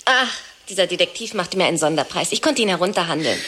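Chatty jealous young wife, a bit low, sarcastic, radio play. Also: female voice, expressive, fast, fairly bright tone. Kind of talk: radio play monologue and dialogue.

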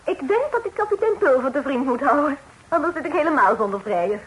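A woman's voice: high-pitched, lively intonation, fast, slight background noise, low-quality recording. An actress playing a coy, playful young woman in a period radio drama. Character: coy young woman fending off compliments, Henriette.

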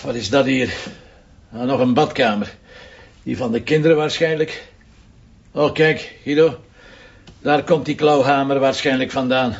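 A wry Flemish police commissioner. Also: male voice, mid-range voice, unhurried, creaky, pauses often, slight background noise. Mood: investigating. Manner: dry, sardonic remarks. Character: blunt, wry middle-aged male police commissioner. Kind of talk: TV crime drama acting. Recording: dull lo-fi TV soundtrack.

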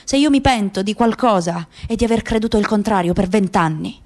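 A woman voicing a grieving, bitter middle-aged father in a radio play, speaking in measured, rhetorical phrases. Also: mid-range voice, expressive, a little mellow, natural voice. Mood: calm, reproachful.

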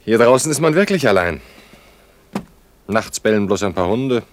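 A man acting as a courteous bachelor of thirty-two in a radio play. His voice is low, and he talks in a hesitant, conversational way with dry, gentle irony, eager to be a good host. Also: lively intonation, normal speed, a little hiss or hum, lightly acted. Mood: wistful. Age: 30s. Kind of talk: naturalistic German radio play dialogue.

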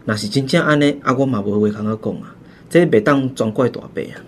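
A man's voice: mid-range voice, a little fast, slight background noise, close to an everyday voice. A man playing a dutiful son who reasons earnestly, with a Taiwanese Hokkien accent, in a radio drama. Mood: earnest pleading. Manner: earnest, persuasive. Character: dutiful eldest son pleading earnestly with his mother.

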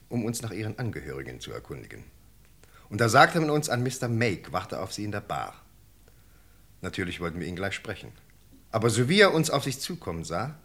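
Insistent, low-voiced police sergeant, radio play. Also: male voice, a bit bright. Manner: insistent, deliberate, formally polite. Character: earnest male British police sergeant questioning a witness. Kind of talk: 1960 German radio drama acting.

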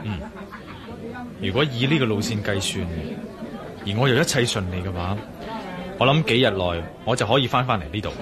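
A man's voice: on the low side, lively intonation, moderate pace, long pauses, crowd noise, close to an everyday voice. An actor playing a determined male adventurer in a Cantonese radio drama, speaking firmly and decisively with a Hong Kong accent. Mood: serious, firm. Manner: firm, urgent, decisive. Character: determined, commanding male adventurer on an urgent mission.

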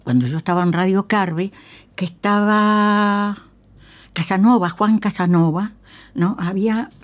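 An older woman with a Uruguayan Spanish accent, reminiscing in a radio interview as an emphatic, halting storyteller who repeats phrases and checks in with tag questions. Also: mid-range voice. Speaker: guest, veteran radio actress. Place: radio studio.

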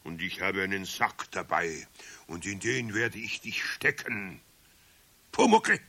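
An actor playing a grumbling old master carpenter with a Bavarian accent, stern and theatrical, in a children's radio play. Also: male voice, low-pitched, expressive, bright, forceful tone, exaggerated voice. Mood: mock-stern, threatening. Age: older. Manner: theatrical, slow and emphatic.

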